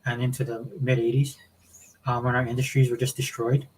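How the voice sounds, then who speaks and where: Fast-talking opinionated male co-host. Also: medium pitch, little pitch movement, darkish tone, a little hiss or hum, poor audio. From Hawaii, raised in the US South. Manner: rambling, opinionated, many restarts. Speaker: co-host of a gaming podcast. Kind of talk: casual livestream chat.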